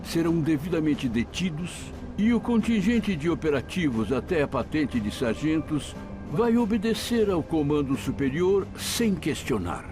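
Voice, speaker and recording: An actor playing a cold, authoritarian general in an audio drama, speaking in a formal, declamatory way. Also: male voice, fairly high pitch, sing-song, normal speed, over music. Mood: cold, commanding. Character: commanding male general plotting a coup, authoritarian, cold.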